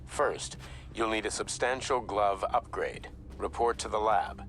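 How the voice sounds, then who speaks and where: An actor playing a grandiose, fatherly scientist boss in a video game, speaking in a measured, lofty way. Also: lowish voice, a bit bright. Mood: grave, instructing.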